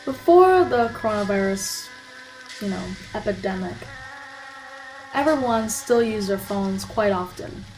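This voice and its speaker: A woman's voice: mid-range voice, lively intonation, unhurried, darkish tone, faint music behind, poor audio. A young woman talking casually as a vlogger, chatty and asking her viewers questions. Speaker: student vlogger interviewing friends. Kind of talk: casual vlog narration.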